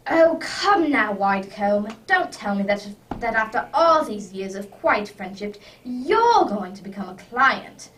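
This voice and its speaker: Woman playing animated, high-voiced gentleman detective. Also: a little slow, hardly any pauses, recorded from a distance, poor audio. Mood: amused, teasing. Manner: exclamatory, gentlemanly, emphatic. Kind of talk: radio drama acting. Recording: off-mic with faint background noise.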